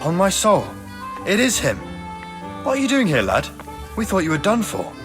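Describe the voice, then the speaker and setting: A man's voice: high voice, wildly swooping pitch, moderate pace, over music. A man playing a good-natured, informal castle man in a video game, talking in a familiar way. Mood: surprised. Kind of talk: video game cutscene acting.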